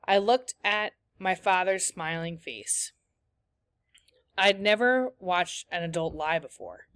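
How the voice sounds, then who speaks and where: A woman teacher reading a children's novel aloud, with clear storytelling after a few discussion questions. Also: fairly low pitch, slow pace, a bit bright, no room sound, clean studio sound.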